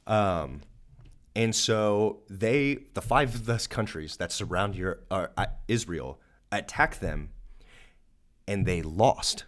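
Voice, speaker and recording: A man's voice: fairly low pitch, slow pace. A man from Georgia with a Southern accent, co-hosting a church podcast and casually explaining history. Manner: animated, acts out voices of nations jokingly. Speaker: podcast co-host.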